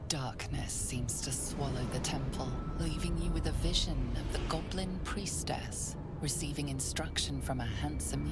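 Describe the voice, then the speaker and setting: A woman playing a solemn storyteller, narrating a dark fantasy video game in a measured, grave, hushed manner. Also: a bass voice. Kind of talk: scripted second-person game narration. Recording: loud game music behind narration.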